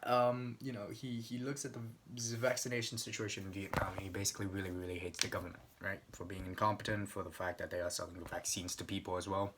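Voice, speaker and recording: A man's voice: sits low, flat delivery, fast, few pauses, background noise. A young man rambling casually, swearing often and stumbling over restarts.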